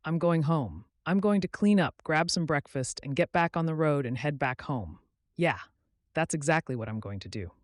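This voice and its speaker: A man acts out an ordinary guy on the run in an audiobook story. He is wry, evasive and casual, half-joking as he talks. The voice is slightly high and animated, recorded dry. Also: normal speed, no echo, lightly acted. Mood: earnest, wry.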